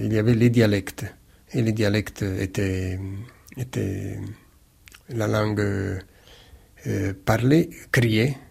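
A man with an Italian accent answers interview questions in a slow, deliberate way. His low voice is gravelly and a little husky, and he often stops and restarts phrases while hunting for the right word. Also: darkish tone. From Naples, Italy. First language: Neapolitan. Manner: halting, searching for words, many repeated starts. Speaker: writer interviewed as guest.

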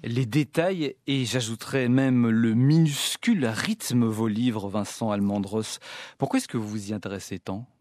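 A man's voice: mid-range voice, unhurried, darkish tone, no room sound, studio quality. A man hosting a radio interview, reading polished, scripted introductions. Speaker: radio host.

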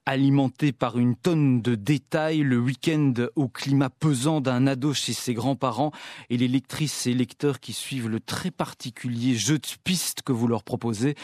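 A man's voice: mid-range voice, darkish tone, dry recording, studio quality. A man hosting a radio interview, reading polished, scripted introductions. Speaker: radio host.

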